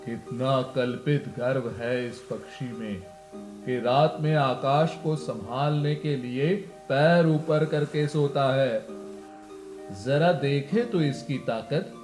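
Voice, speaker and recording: A man's voice: mid-range voice, takes it slowly, reverberant, over music, natural voice. A man voicing a contemptuous sea that mocks a small creature, in a children's fable. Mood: scornful. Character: scornful sea mocking a tiny bird's pride.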